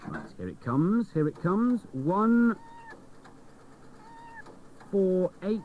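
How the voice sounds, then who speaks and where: A man's voice: high voice, big pitch swings, very slow, slight background noise. A man presenting a children's radio show, chatting directly to the listeners. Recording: dull old cassette radio recording.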